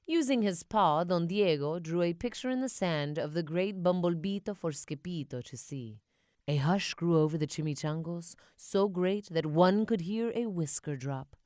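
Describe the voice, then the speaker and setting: Animated woman reading a children's storybook. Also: on the low side, normal speed, no room sound, clean studio sound. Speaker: children's audiobook narrator. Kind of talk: expressive storybook narration.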